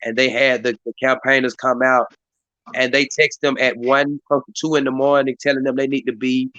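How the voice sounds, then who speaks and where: A hoarse man hosts a community live stream in a rambling way, full of fillers and restarts. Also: medium pitch, level tone, moderate pace, bright, forceful tone, dry recording, highs cut off. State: hoarse, voice partly lost. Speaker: community activist hosting a live stream meeting. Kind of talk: casual hosted talk. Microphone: live stream mic.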